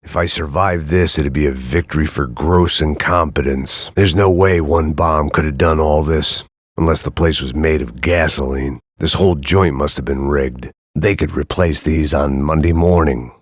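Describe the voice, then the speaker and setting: An actor playing a world-weary, sardonic middle-aged ex-cop, delivering a dry, deadpan hard-boiled game monologue. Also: male voice, very deep voice, normal speed, husky, dry recording. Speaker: game protagonist.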